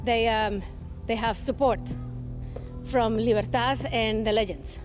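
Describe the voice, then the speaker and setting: Woman playing a high-voiced, frightened naval admiral. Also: steady tone, a little slow, lightly acted. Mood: anxious, submissive. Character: deferential, frightened female navy admiral under pressure. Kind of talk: cinematic video game acting. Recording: clear music behind the voice.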